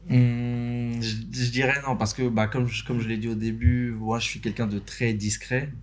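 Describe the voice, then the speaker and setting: A man's voice: mid-range voice, little pitch movement, moderate pace. A young man from Benin speaks as a podcast guest, talking casually and hesitantly, with many fillers and restarts. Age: young adult. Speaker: podcast guest, interview host and motion designer. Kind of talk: casual chat. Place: podcast studio.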